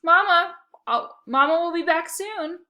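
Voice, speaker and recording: A woman voicing a brisk lady who dotes on her pet in a children's storybook. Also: very high-pitched, pushed and bright, voice pushed up, full character voice. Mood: cooing. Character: brisk, doting lady owner of a poodle.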